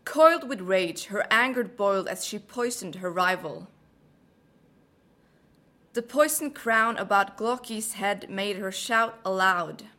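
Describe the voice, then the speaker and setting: A woman's voice: medium pitch, animated, takes it slowly, bright, forceful tone. A young woman from Norway, a Norwegian native speaker, reading a passage and chatting casually in melodic, hesitant English with a Norwegian accent. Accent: Norwegian, American-leaning English. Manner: melodic, hesitant, many fillers. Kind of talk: read passage and casual talk.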